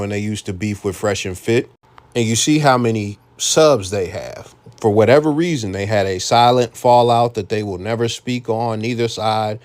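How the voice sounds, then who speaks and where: A man's voice: on the low side, a little mellow. An American man hosting a reaction commentary, talking bluntly and casually with frequent profanity. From United States. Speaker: commentary channel host.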